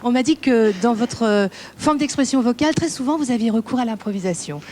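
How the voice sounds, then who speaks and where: A woman's voice: fairly high pitch, expressive, brisk, a little mellow. Female co-host interviewing on stage. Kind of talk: live TV interview. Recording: live theatre broadcast.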